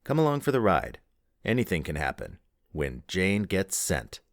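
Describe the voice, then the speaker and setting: A man's voice: sits low, huge pitch swings, moderate pace, dry recording, studio quality. A man reading scripted announcements and credits as the host of an audio play showcase. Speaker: festival announcer.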